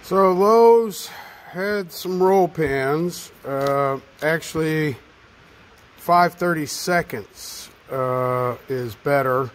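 A man casually narrating his own work in a home garage, with slow, halting speech and frequent fillers, speaking off-screen to a handheld camera. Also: on the high side. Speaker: home mechanic vlogger.

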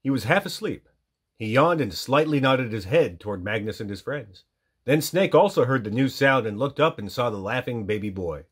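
A man narrates a children's storybook. His mid-pitched voice is husky and moves in animated rises and falls, as a storytime reader. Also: moderate pace.

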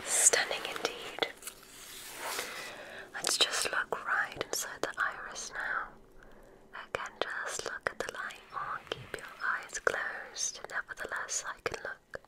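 A woman whispering softly and breathily in an ASMR roleplay as a gentle, soothing witch doctor, close to the microphone. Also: rough recording. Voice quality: breathy, mostly unvoiced whisper. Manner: soothing, gentle, unhurried reassurance. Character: kindly witch doctor giving a magic school exam.

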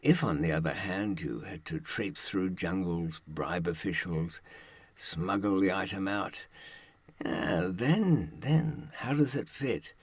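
A man in his seventies giving a reflective monologue, musing haltingly and posing rhetorical questions in a husky voice. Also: low voice, dry recording. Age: 70s. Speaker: presenter.

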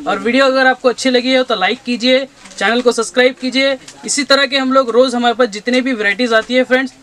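A young man, a shop owner giving a brisk sales pitch for his goods and addressing viewers as friends again and again. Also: fairly even intonation, fairly bright tone. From India. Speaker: shop owner showing products. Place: textile shop, indoors.

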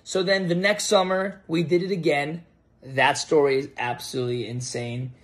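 An American man in his thirties telling a story casually to camera, animated and halting as he repeats words. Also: a little high. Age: 30s. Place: home kitchen, indoors. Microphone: phone propped on table at arm's length.

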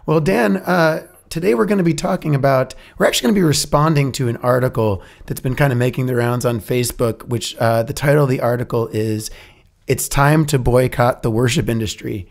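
Hoarse-voiced man hosting a podcast. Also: medium pitch, fairly quick. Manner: relaxed, laughs often, many fillers. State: froggy, hoarse voice. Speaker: podcast host. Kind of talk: casual podcast chat. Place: recording studio.

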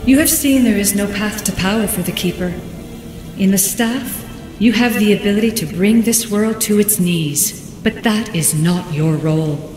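A solemn, ageless female guardian spirit voiced by a woman speaks like an oracle in a video game cutscene. Her formal, measured words ring out with long hall reverb, and music plays behind her. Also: mid-range voice, natural voice. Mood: solemn instruction. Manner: measured, formal, oracular delivery.

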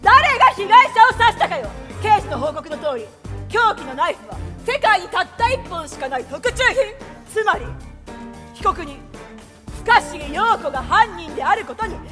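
Imperious prosecutor, piercingly high and forceful. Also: female voice, very animated, moderate pace, full character voice. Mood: indignant, assertive. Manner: commanding, sharp, declamatory. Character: imperious young female prodigy prosecutor, impatient, scornful. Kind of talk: theatrical stage acting. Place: large theater stage. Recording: echoing theater hall, music, audience noise.

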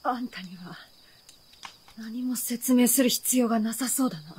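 A woman's voice: on the high side, moderate pace, a little mellow, slight background noise, close to an everyday voice. An actress playing a brash, defiant young hero who talks bluntly like a boy in a radio drama. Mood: resolute. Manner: blunt masculine speech. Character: brash, defiant, boyish-talking youth, reckless and proud.

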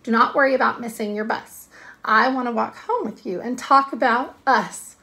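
A woman voicing a scheming, confident young schoolgirl from a storybook, with a dramatic, drawn-out delivery. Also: fairly high pitch, bright, forceful tone. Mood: moony, theatrical. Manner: dramatic, drawn-out, mock-romantic when dictating.

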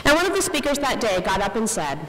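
A young woman giving an earnest, measured inspirational speech as a faculty speaker at a podium microphone. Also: on the high side, sing-song, a bit bright. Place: large indoor ceremony hall, on stage. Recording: reverberant hall with clear background noise.

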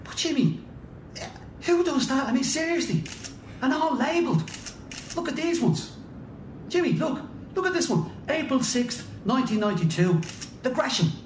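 An Irish man playing a nervy, incredulous detective's sidekick in a radio drama, with an excitable, questioning manner. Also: normal speed, pauses often. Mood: incredulous, excited. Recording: noisy rumble, roomy echo.

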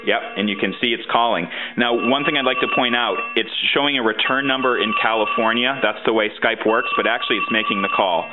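A man's voice: medium pitch, a little fast, hardly any pauses, heavy background noise. A man presenting an informal how-to demonstration, explaining things step by step. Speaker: tutorial presenter and guide author. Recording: muffled, phone-like narrow-band sound.

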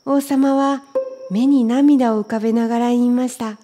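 High-voiced woman reading a children's story. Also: fairly even intonation, normal speed, music in the background. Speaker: storybook reader. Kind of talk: scripted children's story narration.

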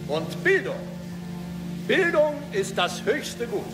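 A pompous male school principal declaims to the assembled school in a radio drama. His voice sits high and swoops through a lively range of pitch. Music plays clearly beneath him. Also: takes it slowly, full character voice.

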